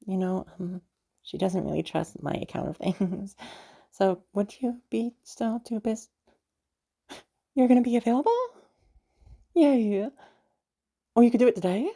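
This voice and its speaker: A woman's voice: lowish voice, gravelly, mellow, slightly rough, heavily acted. Woman putting on a sweet, nervous, stammering young woman. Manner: stammering, giggly, hesitant. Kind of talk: roleplay audio drama, one side of a phone chat.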